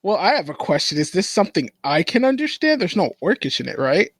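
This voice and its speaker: Animated, higher-pitched man gaming. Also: fast, breathy edge, no room sound, clean studio sound. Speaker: tabletop role-playing player. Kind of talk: casual tabletop game chat.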